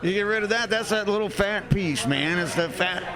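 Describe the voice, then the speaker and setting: A man's voice: high voice, moderate pace. A man chatting casually in a blunt, opinionated, folksy way, with heavy swearing. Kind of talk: casual chat. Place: in a busy bar. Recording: bar crowd noise behind.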